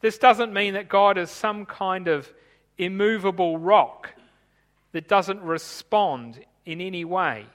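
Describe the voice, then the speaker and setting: A man who grew up in Tanzania, preaching a sermon in a measured, explanatory way while reading from his notes. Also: high-pitched, a bit bright, in a large hall.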